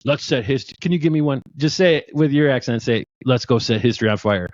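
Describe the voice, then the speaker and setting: Excitable podcast host man, dry lo-fi. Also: medium pitch, a little fast, dry recording. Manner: enthusiastic, joking, many restarts. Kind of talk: casual interview chat. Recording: dull dry lo-fi sound.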